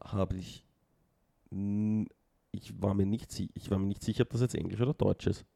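A man's voice: on the low side, steady tone, moderate pace, vocal fry, mellow, husky. A man co-hosting a casual podcast chat, hesitant, repeating words and saying "ja" a lot. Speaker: podcast co-host.

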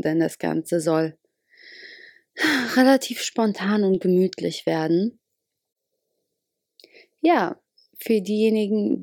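Slow, gravelly woman chatting casually. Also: fairly low pitch, no room sound, clean studio sound. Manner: relaxed, rambling, many fillers. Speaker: podcast host. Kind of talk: casual spontaneous monologue. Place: bedroom, on her bed.

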